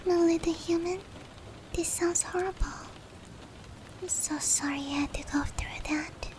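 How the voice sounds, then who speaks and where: A woman voicing a gentle, caring female demon in an intimate roleplay ASMR, whispering softly and breathily to comfort a human. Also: very high voice. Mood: soothing, reassuring. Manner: slow, tender, reassuring. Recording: steady rain noise behind. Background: rain.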